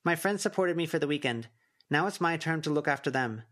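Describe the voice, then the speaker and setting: A man's voice: a little high, lively intonation, fairly quick, a bit bright, pitched above the performer's own voice. A man voicing earnest, protective teenage schoolgirls in a fantasy audiobook, speaking politely. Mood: polite, firm.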